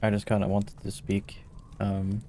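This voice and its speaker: A man's voice: completely flat delivery, measured pace, mellow, slight background noise. A tired Norwegian man streaming a game, chatting casually and hesitantly with his viewers. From Norway. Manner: tired, hesitant, low-key. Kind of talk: casual chat while gaming.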